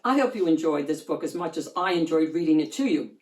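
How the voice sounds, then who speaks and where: A woman reading a children's picture book aloud in an expressive storytelling style. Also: sits low, quick pace, echoey room.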